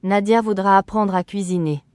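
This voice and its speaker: A voice reading short phrases slowly and evenly for learners, carefully enunciated and repeated. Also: mid-range voice, steady tone, a little mellow, clean tone, no echo. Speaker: French phrase reader.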